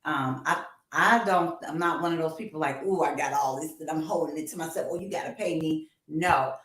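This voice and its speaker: Echoey, low-voiced woman in her fifties. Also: a little fast, fairly bright tone. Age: 50s. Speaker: co-host of a music talk livestream. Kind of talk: casual livestream chat with co-host and viewers. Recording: roomy, echoey livestream sound.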